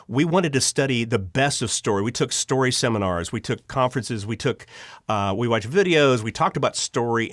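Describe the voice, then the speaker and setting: Animated storytelling man in a dry recording. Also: mid-range voice, no room sound. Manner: animated, acts out reactions and dialogue. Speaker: interview guest, audio drama writer and producer. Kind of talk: casual personal storytelling.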